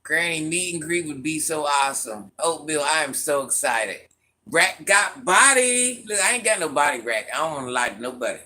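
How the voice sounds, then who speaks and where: Woman livestream host, sharp bright voice, teasing and chatty with her chat. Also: deep voice, expressive, normal speed. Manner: teasing, talks straight to viewers by name, laughs often; calls herself Granny. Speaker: livestream host reading viewer comments.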